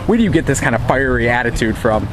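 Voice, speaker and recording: A man's voice: medium pitch, animated, fast, over music. A man interviewing a college athlete outdoors, asking questions with many "uh" fillers. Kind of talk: sports interview. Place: outdoor football stadium. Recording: noisy outdoor recording. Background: outdoor ambience.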